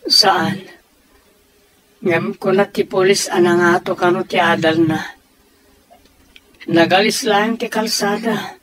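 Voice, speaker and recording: A man's voice: high-pitched, fairly even intonation, slow, long pauses, fairly bright tone, a little hiss or hum, poor audio. A man acting in an Ilocano comedy radio drama, with an Ilocano Filipino accent. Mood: conversational. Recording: music bed behind the voices.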